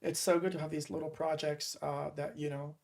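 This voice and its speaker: A woman from Montreal hosting a podcast interview, animated and casual, with many filler words. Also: low-pitched, a little fast, a little hiss or hum. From Montreal, Canada. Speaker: podcast host.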